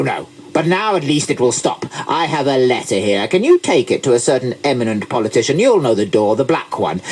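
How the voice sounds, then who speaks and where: Man as a husky, entitled rich banker. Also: medium pitch. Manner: entitled, indignant. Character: smug, callous rich banker scorning the poor.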